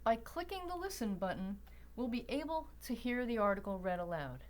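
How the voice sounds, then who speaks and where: Woman tutorial narrator with lively intonation. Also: fairly high pitch, brisk. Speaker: library tutorial presenter. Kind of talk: instructional screencast narration.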